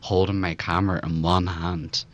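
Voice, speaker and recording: Low male-sounding voice, mid-twenties, Belfast accent, earnest interview guest, emphatic repeated phrasing. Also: flat delivery, normal speed, highs cut off. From West Belfast, Northern Ireland. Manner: emphatic, rhetorical repetition.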